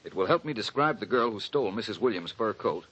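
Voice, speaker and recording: A suave gentleman detective from an old radio drama, measured and dryly witty. The voice sits fairly low, with a slightly husky grain. His delivery swings with lively, animated inflection as he lays out his deductions. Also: poor audio. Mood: deducing. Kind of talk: 1940s radio acting.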